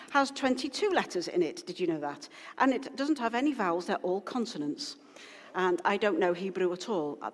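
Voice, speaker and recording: A woman pastor giving an informal, rambling sermon to her congregation, full of asides and restarts. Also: mid-range voice. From Wales. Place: church hall. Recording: long hall reverb.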